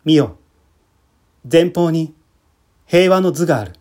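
A man's voice: fairly high pitch, animated, takes it slowly, darkish tone. A man reading a literary short story aloud as a dramatic narration. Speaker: amateur theatre troupe leader reading aloud. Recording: music bed behind the voice.

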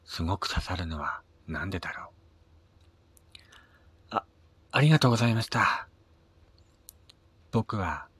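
A man with a husky voice narrating a scripted first-person radio drama, his phrase endings trailing into a breathy near-whisper. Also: deep voice, fairly bright tone. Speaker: reader of own short story.

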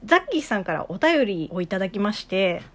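Lively laughing woman, animated pitch. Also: quick pace. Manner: lively, laughs often, teasing. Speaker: podcast co-host. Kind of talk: casual chat.

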